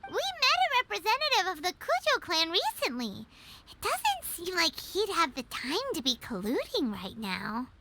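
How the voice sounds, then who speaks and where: A tiny floating fairy companion, chirps in a very high, squeaky, childlike voice that bounces all over the place. She is nosy and chatty, and she calls herself by name instead of saying "I". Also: heavy background noise, heavily acted. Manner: refers to herself in third person. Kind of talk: game voice acting.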